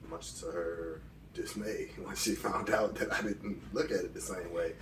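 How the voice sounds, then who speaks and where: A man's voice: low voice, fairly even intonation, moderate pace, fairly bright tone. An American man in his late twenties telling personal stories in a casual, candid, colloquial way as an interview guest. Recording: echoey room, distant mic, background noise.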